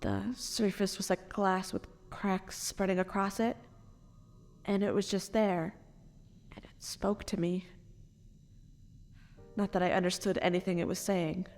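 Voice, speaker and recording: A woman playing a wronged, grieving noble parent and reluctant assassin, earnest and formal, in a dark fantasy audio drama. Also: mid-range voice, little pitch movement, a little slow, long pauses, soft background music, close to an everyday voice. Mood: shaken.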